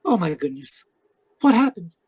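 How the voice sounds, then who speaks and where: A woman's voice: lowish voice, very animated, moderate pace, darkish tone, breathy edge. A woman playing a polite, gossipy and easily flustered hotel desk clerk in an old-time radio drama. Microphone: video call.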